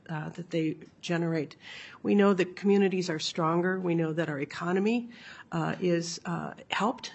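Female official, hoarse from a cold, earnest and measured, low pitch. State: raspy voice from a cold. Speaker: agency executive director testifying. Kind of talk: formal testimony presentation.

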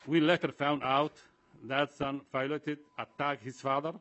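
A man giving prepared public testimony in a formal, halting way. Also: medium pitch, steady tone, a bit bright, highs cut off. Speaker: community association representative giving testimony.

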